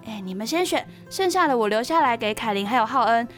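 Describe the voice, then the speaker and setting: Playful, high-voiced young Taiwanese woman, an actress's role. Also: lively intonation, quick pace, a bit bright, soft background music. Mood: cheerful. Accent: Taiwanese Mandarin. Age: young adult. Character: chatty, playful, nosy young woman dorm mate. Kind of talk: radio drama acting.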